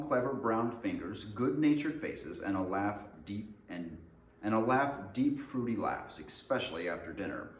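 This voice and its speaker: A man reading aloud in an informal, unpolished way, as the host of a read-aloud. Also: deep voice. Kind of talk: reading a storybook aloud with casual introduction. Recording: echoey room with faint noise.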